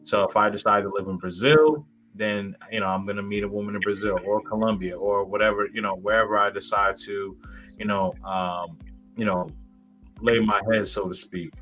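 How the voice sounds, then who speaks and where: A man's voice: fairly low pitch, fairly even intonation, moderate pace, a bit bright, soft background music, rough recording. A man in his thirties, a guest in a casual interview, rambling with many fillers and restarts. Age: 30s.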